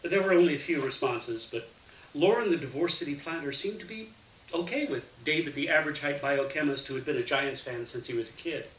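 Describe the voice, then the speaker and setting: A man reading a first-person short story aloud in an expressive, dramatic way. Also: a little high, fairly quick. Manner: dramatic, animated delivery with acted dialogue. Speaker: reader of a short story to an audience. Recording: roomy, distant mic, faint noise.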